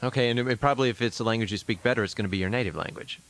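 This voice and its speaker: A man's voice: medium pitch, racing, fairly bright tone, no echo. A man interviewing a researcher, asking curious questions and often repeating words while he finds his phrasing.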